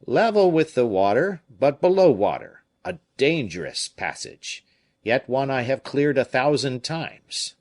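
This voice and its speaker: A man voicing an excitable Provençal fisherman with a Provençal accent, telling his tale loudly and emphatically. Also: medium pitch, unhurried, voice pushed up. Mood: agitated. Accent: Provençal French, as acted. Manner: loud, emphatic, indignant storytelling.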